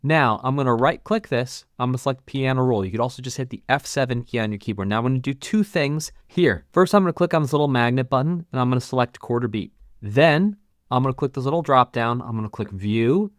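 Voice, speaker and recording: A man teaching as a channel host, casually narrating a step-by-step music software tutorial and talking through each click. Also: medium pitch, fast talker, no echo, clean studio sound.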